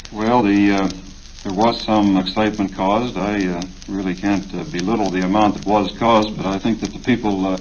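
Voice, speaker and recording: A man moderating a radio interview in a formal, deliberate manner, on an old recording. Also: on the low side, fairly even intonation, darkish tone, dull audio, slight background noise.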